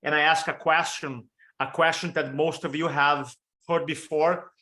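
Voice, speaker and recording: A fairly high-voiced man in his fifties with a Brazilian Portuguese accent, coaching an audience on a webinar. He talks with energy and rattles off lists of examples. The sound comes through a video call. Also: steady tone, a little slow, pushed and bright. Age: 50s. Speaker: motivational speaker and storytelling coach. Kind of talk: training talk on a webinar.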